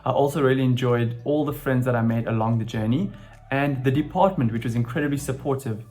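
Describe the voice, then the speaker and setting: A man's voice: medium pitch, brisk, darkish tone. A man in his twenties from South Africa and Saudi Arabia, giving a personal testimonial as a recent graduate. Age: 20s.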